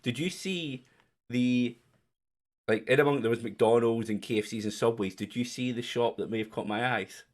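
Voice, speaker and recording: Teasing man hosting a quiz. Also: normal speed. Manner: teasing, chatty, lively jumps in pitch. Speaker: quiz host. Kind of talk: casual livestream chat.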